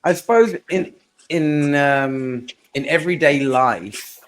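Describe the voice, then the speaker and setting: A man's voice: on the high side, takes it slowly, a bit bright, slight background noise. A man hosting a podcast interview, talking casually and repeating words while he gathers his thoughts. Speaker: podcast host.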